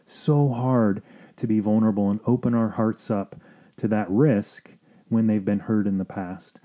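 Very mellow, low-pitched man explaining. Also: fairly even intonation, measured pace. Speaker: marriage counselor and podcast host. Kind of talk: informal explanatory podcast monologue.

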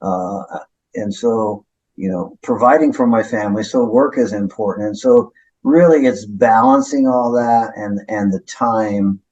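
Low-voiced middle-aged pastor, reflective. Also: male voice, a little slow, a little mellow, lo-fi sound. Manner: reflective, low-key, often adds "right?". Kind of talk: conversational interview.

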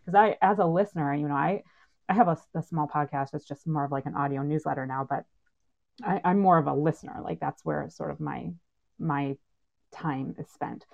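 A woman's voice: low-pitched, brisk, creaky, darkish tone. A woman moderating a panel casually, with an informal, affirming way of talking. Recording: thin phone-like line.

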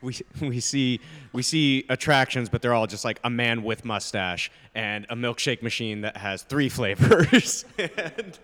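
A man with a bright, widely swinging voice trades improvised comedy banter. He is placating and stop-start, in a noisy, reverberant hall. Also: mid-range voice, animated, measured pace.

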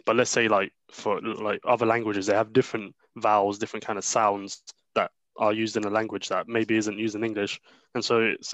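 Young man, lowish dry voice, measured, asks the group questions. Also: a bit bright, no room sound. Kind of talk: casual chat.

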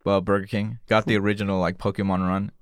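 A man with a lowish voice talks casually among friends in a dry, close-sounding space. He rambles and halts as he explains things, like a co-host on a relaxed podcast. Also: fast, clear, resonant tone, no room sound.

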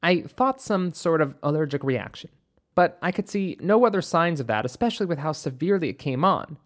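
A woman's voice: low voice, expressive, a little fast. Narrator voicing a composed, precise woman doctor. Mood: clinical, assured. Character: woman doctor, composed, observant, wryly playful.

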